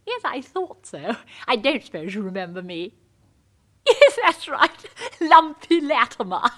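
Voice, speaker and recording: An actress playing a gushing, awkward middle-aged upper-class English wife, jolly and flustered, in a comic monologue. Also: female voice, mid-range voice, big pitch swings, a little slow, fairly bright tone. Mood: jolly, eager.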